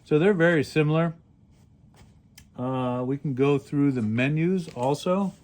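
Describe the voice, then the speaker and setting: A man hosting a casual product demonstration, explaining things step by step in a plain-spoken, halting way. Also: on the high side, darkish tone, slight background noise. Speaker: radio reviewer and host.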